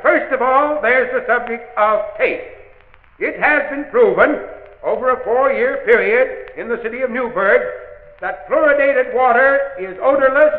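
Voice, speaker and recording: A man's voice: very high-pitched, echoey room. An actor playing an elderly, genial, dogged grandfather with a folksy, hesitant manner in a 1940s radio drama. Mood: earnest, formal. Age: older. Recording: muffled, band-limited 1940s radio recording.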